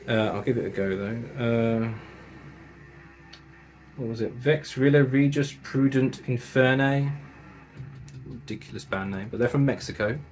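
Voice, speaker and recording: A man talks through his metal tapes and records at a mid pitch, keen and chatty, sprinkling in slang and the odd swear word. Faint music plays behind him. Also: measured pace, long pauses. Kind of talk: casual review of records and tapes.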